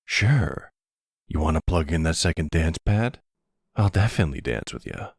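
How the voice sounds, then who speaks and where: Man playing a deep, gravelly, flirtatious mafia don. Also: very animated, moderate pace, no room sound, clean studio sound, heavily acted. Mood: teasing, flirtatious.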